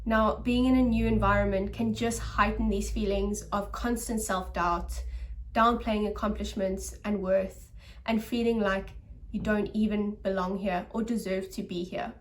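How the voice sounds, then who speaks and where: A woman's voice: medium pitch, a little slow. Earnest woman doctor giving advice, mic a bit distant. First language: English. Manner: earnest, encouraging, steady explaining. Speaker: doctor and channel host. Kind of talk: talk-to-camera advice video.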